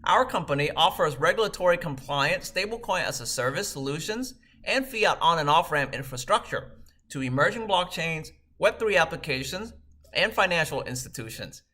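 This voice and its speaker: A man in his thirties, originally from Vietnam, delivering a measured, scripted video message as a company co-founder. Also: on the high side, lively intonation, bright, forceful tone. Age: 30s. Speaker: startup co-founder and CEO.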